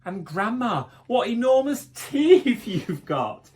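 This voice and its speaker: A man voicing a worried but quick-witted young storybook boy, exclaiming in a wide-eyed way. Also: high-pitched, sing-song, a little mellow, slightly rough, pitched above the performer's own voice, exaggerated voice. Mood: astonished.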